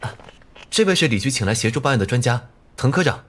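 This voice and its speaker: A man acting a dutiful young police officer reporting deferentially in a Chinese animated crime drama. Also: mid-range voice, fast.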